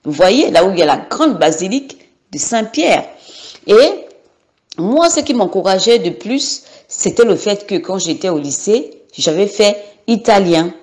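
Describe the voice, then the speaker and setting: A woman's voice: medium pitch, animated, unhurried, a little mellow. A woman from Gabon in her thirties giving an unscripted personal testimony, hesitant, with many fillers and repeated words.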